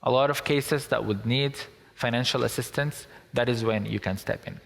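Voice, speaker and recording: A man's voice: mid-range voice, normal speed, creaky. A young man from Syria giving an earnest, emphatic public talk. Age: young adult. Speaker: speaker. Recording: long hall reverb.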